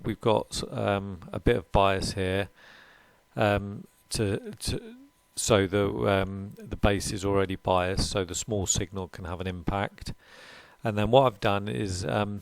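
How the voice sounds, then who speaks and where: A man's voice: lowish voice, vocal fry, no echo. A man presenting an informal technical demonstration, explaining in a hesitant, unscripted way. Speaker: presenter of an electronics tutorial. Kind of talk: informal screen-recorded demonstration.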